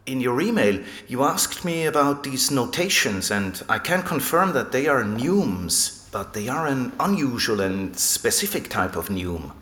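A man's voice: mid-range voice, sing-song, unhurried, few pauses, fairly bright tone, slightly rough, heavily acted. A man acting in an audio drama as an erudite, enthusiastic abbey librarian, explaining things like an eager scholar. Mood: intrigued. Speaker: library guide and manuscript expert.

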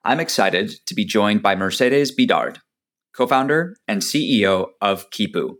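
A man hosting a podcast, reading a measured introduction and then asking friendly questions that stumble a little when he improvises. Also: lowish voice, very animated, fairly bright tone. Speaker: podcast host. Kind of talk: scripted intro and interview questions.

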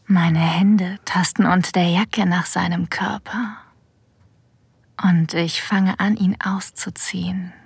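A woman playing a sensual, confident boss in an erotic audio drama, narrating intimately in a breathy whisper, ASMR style. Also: fairly low pitch, fairly even intonation, dry recording, heavily acted. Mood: sensual, secretive. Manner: slow, intimate, deliberate. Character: female boss having a secret affair, sensual and confident. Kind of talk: ASMR-like scripted first-person narration. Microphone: close, dry studio mic.

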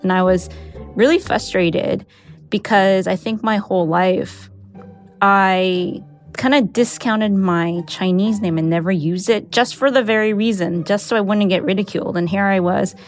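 A young adult woman, raised speaking Mandarin first, telling a personal story in a candid, reflective way with soft laughs. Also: medium pitch, unhurried, faint music behind. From United States. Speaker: interviewee, newsletter writer.

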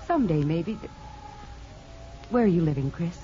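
Woman acting sympathetic married aunt, American, confiding, animated intonation, lowish, vintage radio play, dull old recording. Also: normal speed, close to an everyday voice. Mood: sympathetic, rueful. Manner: expressive, confiding. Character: sympathetic, candid married aunt, gently worldly. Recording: muffled old radio broadcast.

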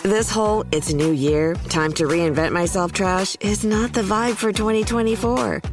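A woman's voice: mid-range voice, moderate pace. Woman doin an ad like shes talking to her bestie, music in the back. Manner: casual, sassy, talks like a friend. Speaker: ad announcer. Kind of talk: scripted chatty ad read. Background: music bed.

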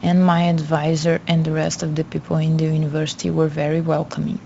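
Gravelly young woman, dull audio. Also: low voice, monotone, brisk, mellow. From Brazil. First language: Portuguese. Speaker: guest student. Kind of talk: answering interview questions. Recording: dull lo-fi audio, faint noise.

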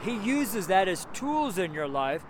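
A man's voice: high voice, big pitch swings, a little slow, noisy background. A seventy-year-old American man giving a casual, rambling street sermon, chuckling to himself now and then. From United States. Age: 70s. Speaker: street preacher. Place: outdoors by a road with passing cars.

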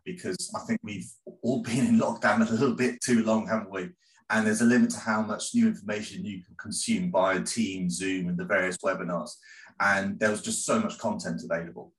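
A man being interviewed as a guest on a podcast, talking in a relaxed, rambling, good-humored way. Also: deep voice, poor audio. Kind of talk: casual interview chat.

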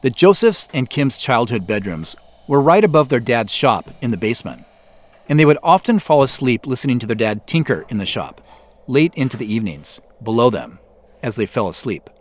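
A middle-aged man with an American accent, giving measured, explanatory scripted commentary as the creator of a show. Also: medium pitch, big pitch swings. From US Pacific Northwest. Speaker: audio drama creator and host. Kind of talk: scripted behind-the-scenes commentary.

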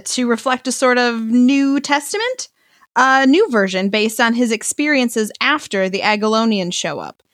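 A woman's voice: a little high, dry recording, clean studio sound. Woman acting a glib, self-serving cult leader. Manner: glib, deadpan. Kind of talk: audio drama acting.

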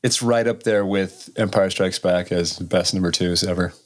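A man's voice: low-pitched, fairly even intonation, moderate pace. A man co-hosting a casual podcast, making dry, wry jokes in easy conversation. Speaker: podcast co-host. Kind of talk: casual chat.

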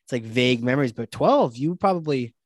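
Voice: medium pitch, lively intonation, brisk, no room sound, clean studio sound. A host asking a guest eager questions in a casual interview. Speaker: podcast host.

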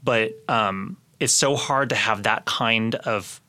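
A man's voice: on the low side, takes it slowly, pushed and bright. A man co-hosting a podcast in a casual, explanatory way, often hedging as he talks. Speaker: podcast co-host.

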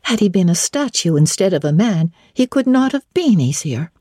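Husky, low-voiced woman reading classic literature. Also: very animated, mellow, no echo, clean studio sound. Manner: measured, deliberate, formal phrasing. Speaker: audiobook narrator. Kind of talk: dramatic literary reading, scripted narration.

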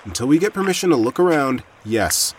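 An audio drama city guard, played by a man, stern and stuck on procedure. His mid-pitched voice swings with exasperation as he insists on doing things officially. There is faint noise behind him. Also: sing-song, fairly quick, natural voice. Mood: exasperated.

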